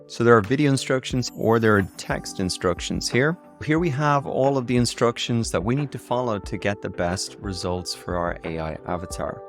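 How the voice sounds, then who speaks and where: An Irish man hosting a casual software tutorial in an enthusiastic way. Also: fairly low pitch. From Ireland. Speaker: tutorial video host.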